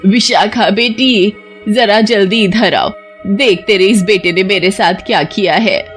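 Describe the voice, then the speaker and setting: Whiny scheming granny, put on by a woman, slow and drawling, cartoon story with music. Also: mid-range voice, close to an everyday voice. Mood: feebly wheedling. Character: scheming, self-pitying old grandmother feigning injury.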